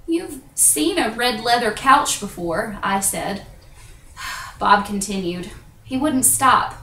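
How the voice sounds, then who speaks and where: A woman performing a theatrical monologue as a self-conscious, candid woman confessing intimate things, with dramatic pauses and drawn-out emphasis. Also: expressive, sharp tone, full character voice. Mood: flustered. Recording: echoey room on low-quality webcam.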